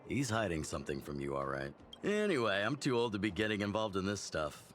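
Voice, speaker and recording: An actor voicing a seasoned middle-aged fighting master in a video game, fatherly and reflective, telling stories in a measured way. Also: fairly low pitch, very animated, fairly bright tone, slight background noise. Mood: fond, apologetic. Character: seasoned male fighting master, fatherly, fond of his student. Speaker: mentor character. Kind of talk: video game character dialogue, storytelling.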